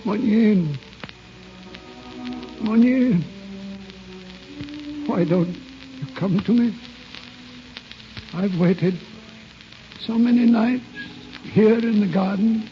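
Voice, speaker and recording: An actor playing a frail, remorseful, lovelorn old uncle in an old radio drama, halting and emotional. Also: male voice, sits high, soft background music. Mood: yearning, awed. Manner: halting, emotional, repeating words. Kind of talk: 1940s radio drama acting. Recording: muffled, crackly old radio broadcast.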